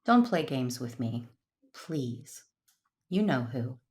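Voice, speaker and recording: A woman playing a solemn, conflicted but resolute Jedi warrior in an audio drama, speaking in a measured, earnest way. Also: very deep voice, sing-song, darkish tone, full character voice. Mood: guarded, tense. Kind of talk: first-person narration and dialogue in audio drama.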